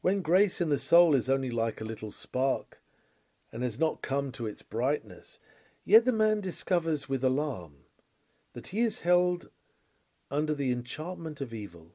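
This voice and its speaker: A man reading an old Victorian sermon aloud in a measured, solemn, rhetorical manner. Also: mid-range voice, very animated, mellow. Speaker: sermon reader.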